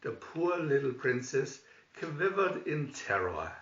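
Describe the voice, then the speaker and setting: A man narrates a children's storybook in an unhurried, theatrical way. His fairly high voice swings widely in pitch. The sound is roomy and a little dull, picked up from a distance. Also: big pitch swings, fairly bright tone. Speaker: storybook reader. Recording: echoey room, distant dull microphone.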